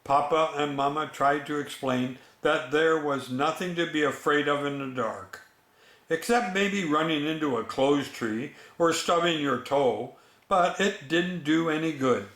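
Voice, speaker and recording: A man with a slightly high voice reads a bedtime story aloud. He goes very slowly, with expressive pauses and a chatty manner toward children. The room is noticeably echoey.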